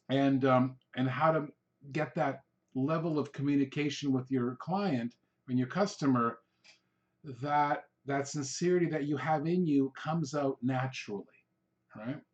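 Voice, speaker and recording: A man whose first language is Hungarian hosts a solo podcast, giving loose, rambling coaching talk with frequent "um" and "all right?" checks. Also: a little high. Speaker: podcast host, real estate coach. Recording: dull lo-fi recording.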